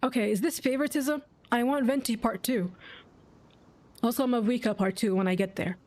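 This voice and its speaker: A woman's voice: on the high side, brisk, a little mellow. Chatty young woman streaming a game. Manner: chatty, informal, slangy. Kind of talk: casual live game-stream reaction. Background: game music.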